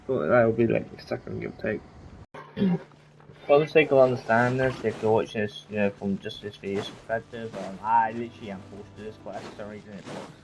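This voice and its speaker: A man giving casual, unscripted game commentary, halting and rambling as he goes. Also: on the low side, darkish tone, poor audio. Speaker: gamer recording gameplay commentary. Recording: game music behind the voice.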